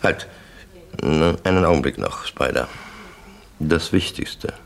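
Abgrundtief knarrender, bedrohlicher Gangsterboss. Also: male voice, rumbling low, heavily acted. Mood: quietly threatening. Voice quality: gravelly. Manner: terse, commanding, deliberate. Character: calm, menacing male crime syndicate boss. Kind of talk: German radio crime drama acting.

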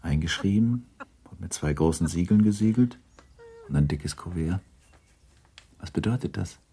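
A man narrating a literary radio play in a grave, measured, restrained manner. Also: sits low, expressive, warm tone. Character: grave, restrained narrator of a 19th-century novel.

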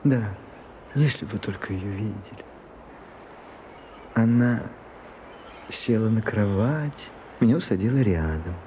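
Bashful gentleman, swooping intonation. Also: on the low side, lively intonation. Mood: wistful, nostalgic. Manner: hesitant, halting, bashful. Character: shy, prim, flustered gentleman confiding in his madam. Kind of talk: Russian radio play acting. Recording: muffled Soviet-era radio recording, some hiss.

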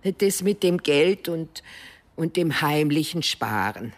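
An actress playing a secretive older mother in a radio drama, defensive and evasive, speaking haltingly. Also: low voice, expressive, heavily acted. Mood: halting, ashamed. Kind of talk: naturalistic German radio drama acting.

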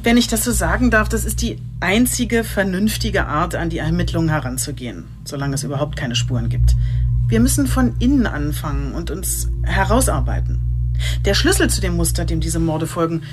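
An actress as a firm, lowish-voiced female detective. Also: lively intonation, normal speed, close to an everyday voice. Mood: insistent. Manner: assertive, reasoned, matter-of-fact. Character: firm, methodical female chief inspector, frank and sceptical. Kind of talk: radio drama dialogue.

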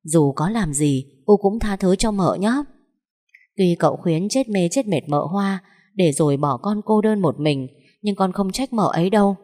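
A woman's voice: medium pitch, expressive, normal speed, dark tone, natural voice. A woman voicing a scheming young wife who feigns tearful pity, in a wheedling, whimpering tone. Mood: fake tearful.

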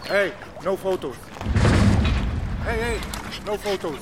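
An actor playing a pushy, bribe-seeking harbor guard in a radio drama, brusque and insistent. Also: male voice, high voice, lively intonation, slow, very noisy. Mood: brusque, suspicious.

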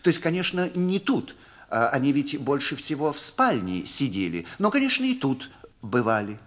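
A man voicing a shy, flustered young country landowner in a literary audiobook, speaking in a hurried, stumbling and touchy way. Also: medium pitch, very animated, fairly bright tone. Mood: flustered, eager. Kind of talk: acted dialogue in literary audiobook.

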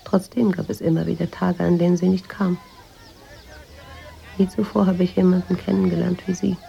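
A wistful woman writer in a radio drama. Her voice is low and very creaky, with a clean, dark-free edge. She speaks in a measured, reflective way. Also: flat delivery, dull audio. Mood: reflective, melancholy. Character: wistful, introspective American woman writer living in Morocco. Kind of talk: German radio drama, intimate narration and dialogue.

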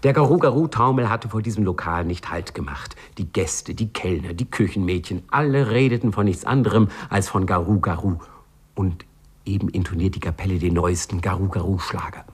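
A man narrating a 1950s radio play in a measured, ironically solemn manner, with a husky voice. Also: low voice.

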